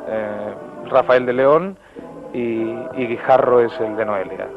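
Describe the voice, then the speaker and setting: A young man from Spain, a singer answering press questions in an easy, measured way with some fillers, recorded on an interviewer's handheld mic. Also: medium pitch, soft background music. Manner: relaxed, measured replies with fillers. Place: recording studio, later outdoors at an airport. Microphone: handheld mic held by interviewer. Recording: muffled 1970s TV film sound.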